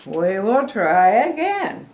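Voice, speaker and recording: A woman's voice: medium pitch, big pitch swings, slow, darkish tone, slight background noise. A woman voicing an earnest, unsure but willing boy elephant, speaking in simple, emphatic declarations for a children's picture book. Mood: earnest, trying. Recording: echoey room.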